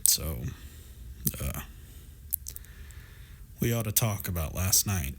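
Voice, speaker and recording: Guy doing a warm teasing best friend for a roleplay audio, kinda low voice, wry but really reassuring. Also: expressive, slow pace, slight background noise, full character voice. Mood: earnest, gentle. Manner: playful, affectionate, wry, reassuring. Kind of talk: intimate scripted roleplay audio drama.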